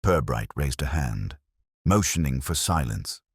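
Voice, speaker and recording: A man's voice: very deep voice, animated, measured pace, vocal fry, breathy edge, dry recording, clean studio sound. A man reading a scripted mystery story as a narrator. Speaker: audiobook narrator.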